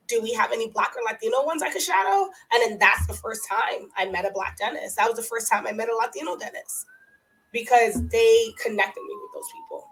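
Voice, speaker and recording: Lively, laughing woman dentist, higher voice. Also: a little hiss or hum, rough recording. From United States, family from Guatemala. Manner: animated storytelling, laughs often, acts out quoted voices. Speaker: dentist, podcast guest. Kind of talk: casual conversational interview.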